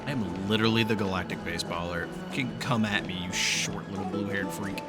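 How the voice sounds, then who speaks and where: A man's voice: fairly low pitch, fairly even intonation, a little fast. A man giving casual, joking reaction commentary as he streams a video game. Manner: joking, wry. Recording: loud game music behind voice.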